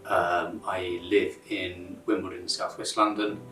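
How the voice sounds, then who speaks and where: A man's voice: sits low, little pitch movement, slow, a bit bright, far from the mic, a little hiss or hum. A man in his fifties, born in Botswana, answering interview questions. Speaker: journalist. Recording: echoey room, off the mic.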